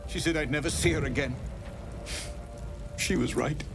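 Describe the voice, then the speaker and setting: A man's voice: on the high side, moderate pace, vocal fry, music in the background. An actor playing a grieving man who blames himself for the loss of someone he loved, speaking in a video game scene. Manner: broken, self-blaming, bitter. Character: grieving man who lost his love.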